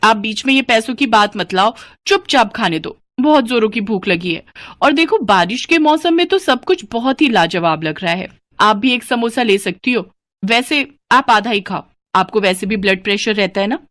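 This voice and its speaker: A woman's voice: on the high side, lively intonation, moderate pace, fairly bright tone, no room sound. A woman voicing a carefree, defiant, food-obsessed young daughter-in-law in a cartoon moral story, with a whiny, self-indulgent manner. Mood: greedy, dismissive.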